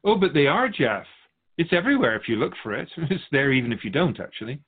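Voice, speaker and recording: Give me a man acting as a wise otherworldly soul keeper, wry and teasing, with a very animated, swooping delivery. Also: medium pitch, phone-quality sound. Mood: instructive. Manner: measured, teasing, rhetorical questions. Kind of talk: scripted philosophical dialogue.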